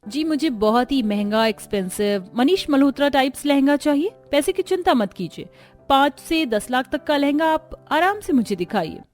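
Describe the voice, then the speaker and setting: A woman's voice: sits high, lively intonation, moderate pace, soft background music, pitched above the performer's own voice. A woman voicing a haughty, boastful rich young bride-to-be in a cartoon, talking in a showy, condescending way. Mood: showy, demanding.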